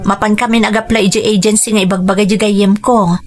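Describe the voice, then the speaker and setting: A woman's voice: medium pitch, steady tone, quick pace, lightly acted. A young woman playing an earnest, hopeful daughter, respectful to her parents, in an Ilocano radio drama. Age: young adult.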